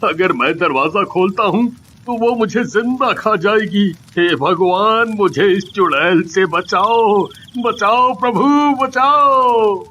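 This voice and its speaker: A man voicing a terrified, cowardly merchant in an animated moral story, stammering and pleading for rescue. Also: high voice, takes it slowly, highs cut off, slight background noise, heavily acted.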